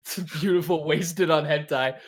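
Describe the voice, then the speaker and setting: A man's voice: on the high side, moderate pace. A man hosting a podcast, chatting casually and prompting his guest in an informal, encouraging way. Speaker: podcast host.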